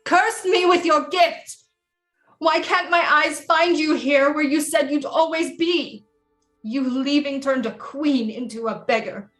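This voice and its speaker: A woman acts out a haughty, lovelorn queen in a period audio play. The voice is fairly high and very unhurried, with grandiose, formal phrasing. Music plays faintly around the speech. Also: a bit bright. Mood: pleading, frustrated. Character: imperious, lovelorn French queen conjuring a lost seer. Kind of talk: theatrical period audio drama acting.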